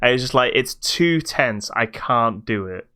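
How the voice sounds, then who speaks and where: A man's voice: medium pitch, expressive, unhurried, sharp tone. A man giving his opinions in casual podcast chat about games, feeling his way through his phrasing. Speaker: podcast co-host.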